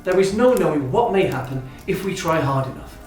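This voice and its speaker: Guy playing a kind earnest victorian gent, highish voice, super echoey with music behind. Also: animated, a little fast, a little mellow, distant mic, natural voice. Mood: earnest, hopeful. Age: 30s. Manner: formal, wordy, earnest phrasing. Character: kindly, teasing, hopeful brother in his thirties, Victorian gentleman. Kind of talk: stage-style literary radio drama acting.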